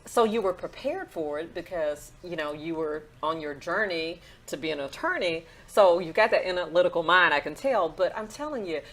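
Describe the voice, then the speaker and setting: Low-voiced woman pastor interviewing. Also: expressive, moderate pace, few pauses, fairly bright tone. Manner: affirming, talkative, many "mm-hmm"s. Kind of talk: interview host.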